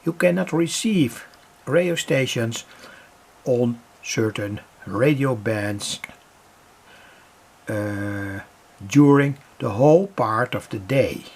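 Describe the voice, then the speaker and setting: A hobbyist giving an unscripted demo at home, explaining piece by piece in a halting way with frequent pauses. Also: medium pitch, darkish tone. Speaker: hobbyist demonstrator. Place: home, indoors near a kitchen. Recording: noisy room with radio static. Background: radio noise.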